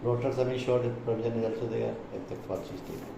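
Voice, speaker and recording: Male trainer, Indian accent, didactic explanatory delivery, captured in a reverberant room with clear noise. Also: medium pitch, fairly even intonation, rapid-fire. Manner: didactic, reading points then explaining them. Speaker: insurance trainer. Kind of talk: explanatory product presentation.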